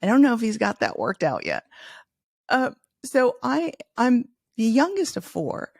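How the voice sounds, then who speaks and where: A woman's voice: on the high side, huge pitch swings, a little slow, gravelly, no echo, clean studio sound. A middle-aged woman from Chicago, a native Spanish speaker with no accent, chatting in a podcast interview with many restarts. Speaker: podcast host and author, interviewed as guest.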